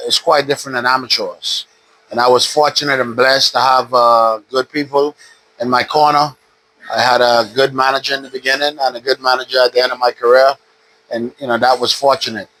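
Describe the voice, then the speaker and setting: A man in his fifties from the Bahamas, a retired boxer calmly telling his story in an interview at a boxing gym. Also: medium pitch, a little fast, rough recording. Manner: relaxed, steady storytelling with frequent "uh". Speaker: retired pro boxer, guest. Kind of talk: casual interview.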